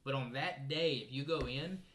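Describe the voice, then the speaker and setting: A man with an average-pitched voice takes part in a relaxed gaming discussion. His talk is informal and full of slang, like casual conversation among friends. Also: normal speed. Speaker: gaming talk show panelist. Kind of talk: casual chat.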